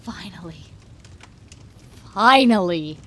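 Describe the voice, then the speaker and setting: A woman's voice: mid-range voice, expressive, slow pace. A woman playing an unhinged, gleeful female supervillain in an ASMR roleplay, teasing a captive in a theatrical, giggly sing-song way. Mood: bitter, angry.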